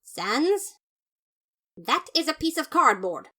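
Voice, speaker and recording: A woman's voice: high voice, sing-song, takes it slowly, pushed and bright, voice pushed up, heavily acted. A woman voicing a pompous, upright skeleton brother, formal and exasperated, in a fan audio story. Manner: formal, indignant, emphatic.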